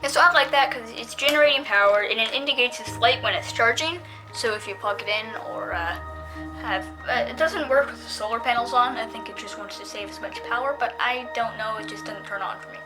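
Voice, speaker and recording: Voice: mid-range voice, poor audio. A female-sounding reviewer giving a casual, unscripted product demonstration, talking in a hesitant, rambling way.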